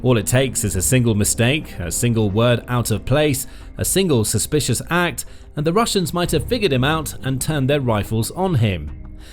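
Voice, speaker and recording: A man narrates in a scripted documentary style, his pitch rising and falling a great deal as he leans on key words. His delivery is dramatic and emphatic, with pauses for effect. Faint music plays underneath his voice. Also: animated. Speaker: narrator of a military news channel. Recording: faint music bed behind the voice.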